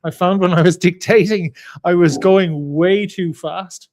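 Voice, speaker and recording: A man with a fairly high voice, talking earnestly about his own habits in casual panel chat. He is self-deprecating and laughs easily at himself. He speaks in loose, thinking-aloud sentences. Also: measured pace, a little mellow. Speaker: writer, podcast co-host.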